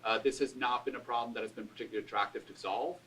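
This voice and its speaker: A man's voice: medium pitch, steady tone, rapid-fire, fairly bright tone, slight background noise. A man on a panel giving his views in a casual way, with lots of "like" fillers. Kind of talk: panel discussion. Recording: distant room mic.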